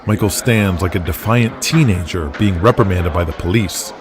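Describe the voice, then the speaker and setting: Low-voiced man narrating over crowd noise. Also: normal speed. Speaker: narrator of an audio drama. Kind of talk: scripted present-tense story narration.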